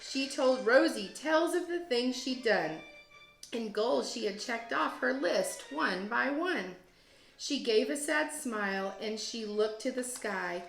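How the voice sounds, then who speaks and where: Woman reading a storybook, slightly high, roomy. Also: creaky. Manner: expressive, deliberate storytelling phrasing. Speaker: teacher, guest read-aloud host. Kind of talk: children's storybook read-aloud. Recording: roomy echo, music behind. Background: storybook app music.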